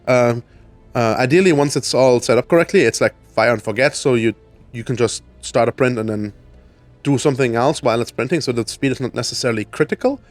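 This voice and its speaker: A man from Germany in his thirties talks through a building project in a video game, his voice raspy as he gets over a cold. He thinks aloud, restarting sentences and filling gaps with ums, at a mid pitch. Faint music plays underneath. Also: a little fast. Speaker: let's play host. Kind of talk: casual gameplay walkthrough.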